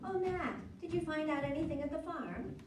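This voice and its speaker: A woman acting as a gentle, anxious wife in a radio drama, hesitant and conciliatory. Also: high voice, normal speed, natural voice. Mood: worried. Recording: very echoey room, distant, faint noise.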